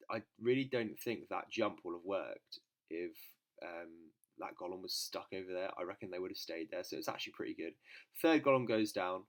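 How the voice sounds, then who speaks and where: A British man giving casual gameplay commentary in a nasal voice, congested with a cold, in an informal, hesitant manner. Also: deep voice. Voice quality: nasal from a blocked nose. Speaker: gaming channel host.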